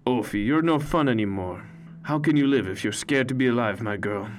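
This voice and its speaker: Gruff, defiant old grandpa with a grumbling, teasing manner , put on by a male narrator, voice a touch higher than the reader's own and oddly colored. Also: brisk, lightly acted.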